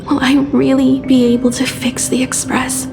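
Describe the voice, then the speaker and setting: An actress playing a frail young woman engineer in a game drama, soft and breathy, with a wistful, weary manner. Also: high-pitched, monotone, music in the background. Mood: despairing, wistful. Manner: slow, sighing, wistful.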